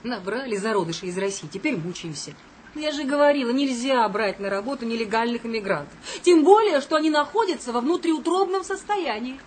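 A woman's voice: on the high side, animated, a little fast, slight background noise, lightly acted. An actress in a radio play as a bossy, scornful female editor with an imperious, exasperated manner. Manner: imperious, indignant.

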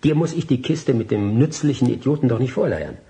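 An actor as a brash, animated radical. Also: male voice, medium pitch, fairly quick, lightly acted. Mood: defiant, impatient. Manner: scornful, sarcastic, blunt. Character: brash, impatient male political radical. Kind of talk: radio drama acting.

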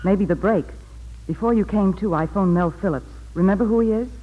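A woman acts a steady, wry nightclub singer in a radio drama. The old recording is dull and faintly noisy, her manner encouraging and kind. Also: medium pitch, brisk, lightly acted. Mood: steady, helpful. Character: wry, warm-hearted, steady female nightclub performer. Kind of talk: 1940s radio drama acting.

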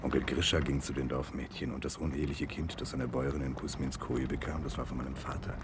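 An actor playing an aristocratic Russian landowner, brooding and guilt-ridden, in a German radio drama. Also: male voice, extremely low pitch, flat delivery, quick pace, very noisy. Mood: guilty, brooding.

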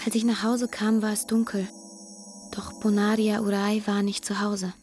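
A woman's voice: medium pitch, level tone, moderate pace, close to an everyday voice. An actress playing an earnest young girl who tells her own story in an intimate radio drama. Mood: hushed, wistful. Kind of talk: radio drama narration and dialogue. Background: music.

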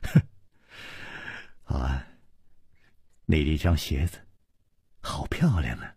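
Man acting a husky, flirtatious roguish hero. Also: big pitch swings, moderate pace, no echo, exaggerated voice. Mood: soft, tender. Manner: soft, low, flirtatious. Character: roguish, teasing, smitten male martial-arts hero.

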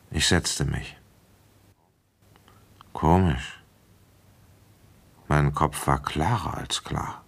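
An actor playing a weary, shrewd police inspector in a German radio play, brooding and speaking deliberately as he reasons things through. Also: extremely low pitch, a bit bright, husky, heavily acted. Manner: deliberate, reflective, halting while thinking aloud. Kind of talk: German radio play acting, first-person narration and dialogue.

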